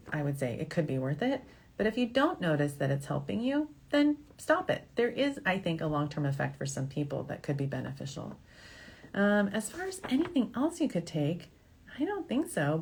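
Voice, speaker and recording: Female medical show host, low register, frank and reassuring, chatty advice to viewers. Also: gravelly, a little mellow, breathy edge. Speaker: fertility doctor hosting a question-and-answer show. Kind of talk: informal advice talk, answering viewer questions.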